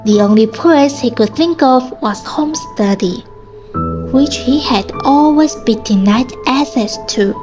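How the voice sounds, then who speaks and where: A woman's voice: sits high, a little slow, over music. A woman delivering scripted narration for a radio drama.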